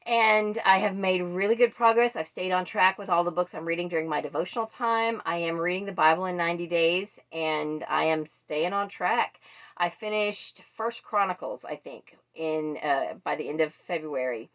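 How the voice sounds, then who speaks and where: A woman's voice: lowish voice, normal speed, fairly bright tone. A woman book vlogger chatting casually and thinking aloud as she goes. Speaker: book vlogger presenting a monthly reading wrap-up. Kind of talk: casual chat to camera.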